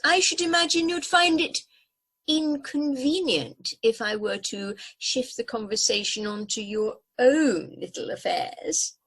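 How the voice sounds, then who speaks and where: A woman's voice: high voice, fairly bright tone, breathy edge, heavily acted. A woman voicing a haughty, tactless talking house cat in a radio play, formal and drily cutting in manner. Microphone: video call. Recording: home recording over video call.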